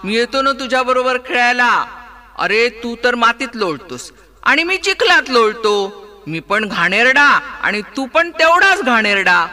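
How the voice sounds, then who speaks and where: A man voicing a jolly, friendly male pig who talks in a loud, hearty, cheerful way in a storybook tale. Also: very high-pitched, very animated, unhurried, pitched above the performer's own voice, full character voice.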